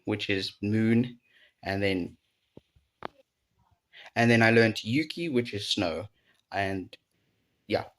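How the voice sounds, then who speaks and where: A man with a South African accent casually recapping his week of learning, rambling and correcting himself as he goes. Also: lowish voice. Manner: rambling, self-correcting, unsure. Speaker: language learner vlogger.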